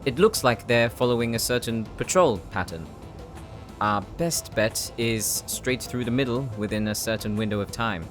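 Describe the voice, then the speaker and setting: A serious squad leader played by a man lays out tactics with earnest authority. He has a mid-pitched voice and the dutiful air of an audio drama soldier. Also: normal speed, fairly bright tone, faint music behind, natural voice. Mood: businesslike. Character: serious, dutiful male team leader on a combat mission. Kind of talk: audio roleplay acting.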